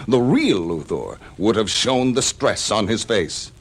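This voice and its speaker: An actor playing a confident, righteous male superhero in an old audio drama, declaiming with dramatic pauses. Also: lowish voice, expressive, slightly rough, full character voice. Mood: confident, explaining.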